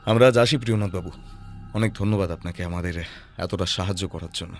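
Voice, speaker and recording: An actor playing a shrewd, composed male detective who reasons in a deliberate, analytical way in an audio drama. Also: low-pitched, soft background music, lightly acted. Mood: deducing, decisive.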